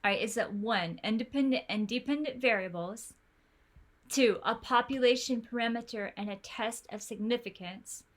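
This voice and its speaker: A woman's voice: fairly high pitch, moderate pace. A woman coaching an online study group, talking in a chatty, encouraging way full of fillers and restarts over a video call. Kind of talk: online tutoring session.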